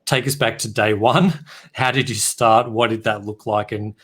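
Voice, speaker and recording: A man's voice: on the low side, fairly even intonation, normal speed, fairly bright tone. A man hosting a business podcast, introducing his guest and asking open questions in a friendly, chatty way. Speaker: podcast host. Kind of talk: interview host questions.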